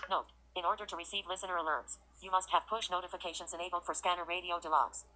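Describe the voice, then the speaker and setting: A woman's voice: lowish voice, steady tone, quick pace, bright, forceful tone. A synthetic woman's voice working as a screen reader, giving clipped announcements of menus and buttons. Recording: clearly noisy, with clicks and tapping.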